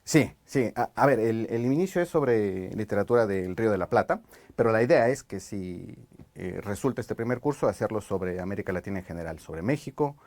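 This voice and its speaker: A man from Ecuador with an Ecuadorian highland accent, a Spanish teacher chatting on a podcast, thoughtful and hesitant with many fillers. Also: expressive, brisk, a little mellow. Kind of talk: casual podcast chat.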